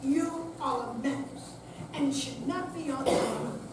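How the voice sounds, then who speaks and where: Woman reading as a high-voiced stern policewoman, echoey. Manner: formal, firm, deliberate. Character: stern, by-the-book female police officer. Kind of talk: staged reading of a play. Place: senior center hall, live performance. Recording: echoey room, distant mic, loud noise.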